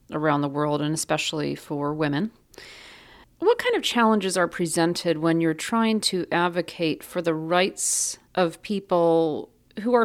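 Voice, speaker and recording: A woman hosting a radio interview show, asking measured, probing questions. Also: low voice. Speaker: podcast host.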